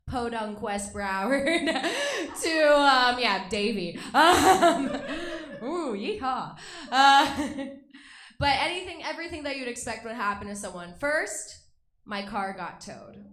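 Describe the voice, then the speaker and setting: A woman's voice: fairly high pitch, sing-song, measured pace, fairly bright tone, echoey room. A young woman from Russia doing a stand-up comedy set, bubbly and self-mocking, laughing between her jokes. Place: comedy club stage.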